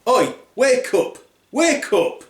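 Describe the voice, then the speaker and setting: Casting: indignant, sweary storybook papa bear, blunt and exclamatory, high-pitched man acts it out, roomy recording. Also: animated, unhurried. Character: gruff, indignant, sweary Daddy Bear, adult male.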